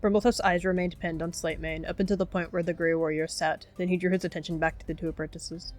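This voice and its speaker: A woman's voice: lowish voice, level tone, quick pace, soft background music. A woman narrating characters' actions in a descriptive roleplay game.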